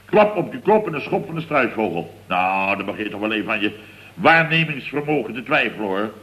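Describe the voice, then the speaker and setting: An actor playing a flustered, indignant middle-aged building boss, telling things in a long-winded, exasperated way in a comic radio serial. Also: male voice, on the low side, sing-song, fairly quick, a bit bright, poor audio. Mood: indignant, pompous. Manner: long-winded, exasperated storytelling peppered with verbal tags. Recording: old radio recording with faint noise.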